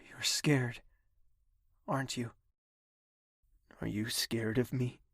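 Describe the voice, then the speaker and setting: A man playing a brooding, menacing young prince in an audio drama, speaking in a measured, gravelly, husky voice. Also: medium pitch, steady tone, fairly bright tone, no echo, clean studio sound, heavily acted. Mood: apologetic, coaxing. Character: brooding, menacing young prince, tender toward his mother.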